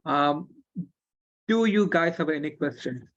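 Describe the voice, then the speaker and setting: Relaxed woman teaching over video call. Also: deep voice, measured pace. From Tamil Nadu, India. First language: Telugu. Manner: relaxed, many fillers and restarts. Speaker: script teacher. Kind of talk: online class explanation. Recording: dull lo-fi video call audio.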